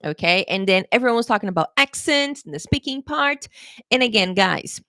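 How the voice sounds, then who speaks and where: A woman's voice: mid-range voice, animated, fairly quick, sharp tone, dry recording. Chatty encouraging woman teacher, dry sound. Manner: chatty and encouraging, keeps checking in with "okay?"; laughs often, playful pet phrases. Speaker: English teacher. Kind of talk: live-streamed exam-prep lesson, chatting to viewers.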